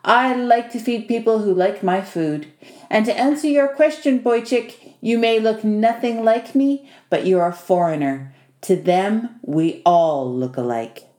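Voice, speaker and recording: A woman's voice: fairly high pitch, measured pace, darkish tone, close to an everyday voice. A woman voicing a short, bossy male house spirit for an audiobook, wry and teasing, with foreign-sounding turns of phrase. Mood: teasing, warning. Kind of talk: audiobook character dialogue. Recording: echoey room.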